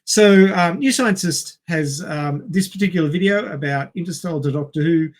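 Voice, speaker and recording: A man co-hosting a podcast in a casual, halting way with many pauses. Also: on the high side. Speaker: podcast co-host.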